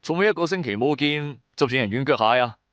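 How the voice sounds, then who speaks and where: A man's voice: mid-range voice, animated, quick pace, a bit bright, clean tone, dry recording, dull audio, lightly acted. A man playing a shrewd, wry trader who narrates his own adventures in colloquial, sardonic radio-drama style. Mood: annoyed, teasing. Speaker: narrator and lead character.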